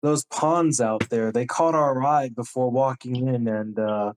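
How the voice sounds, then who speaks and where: Man as courtly male adventurer, suave, wordy, halting, slow delivery, tabletop role-play. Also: medium pitch, darkish tone, a little hiss or hum, lightly acted. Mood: cordial, flustered. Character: suave, cordial male adventurer who gets flustered and grumpy.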